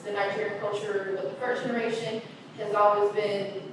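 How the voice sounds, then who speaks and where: A young American woman in a casual panel discussion, talking haltingly with many pauses and ums. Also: medium pitch, flat delivery. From United States. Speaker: panel guest. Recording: echoey room, distant mic, background noise.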